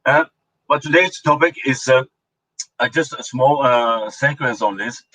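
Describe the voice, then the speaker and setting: A man from Punjab with a Punjabi Indian accent, hosting a political commentary show and speaking haltingly with many fillers and restarts. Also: mid-range voice, bright, forceful tone, low-quality recording. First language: Norwegian. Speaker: host.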